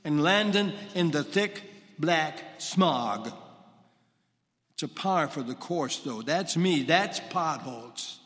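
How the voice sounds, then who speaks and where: An actor with a Brooklyn accent playing a gruff, complaining middle-aged cab driver on a church stage. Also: male voice, fairly high pitch, lively intonation, slow, a bit bright. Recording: echoey hall.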